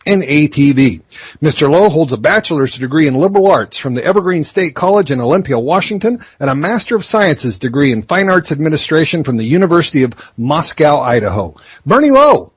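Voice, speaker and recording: A man's voice: a little high, breathy edge, no echo. A man hosting an interview podcast, reading a scripted introduction and chatting with his guest. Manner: friendly, conversational, scripted intro. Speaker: podcast host.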